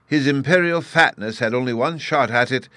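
A man voicing an excitable, absent-minded, kindly old professor in a storybook, with a flustered, pedantic manner. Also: mid-range voice, moderate pace, dry recording, voice pushed up, lightly acted.

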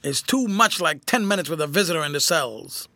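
A man voicing a whining, cringing old ex-soldier turned tramp, rough-spoken and slyly malicious, in a storybook reading. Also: a little high, expressive, fairly quick, sharp tone, no echo. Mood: gruff, sardonic. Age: older. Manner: wheedling, rough colloquial speech.

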